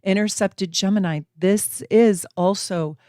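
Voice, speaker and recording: A woman's voice: mid-range voice, measured pace, a little mellow, no room sound, studio quality. A middle-aged woman from the United States hosting a casual astrology lesson, talking with enthusiasm and repeating phrases for emphasis. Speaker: astrology teacher and channel host.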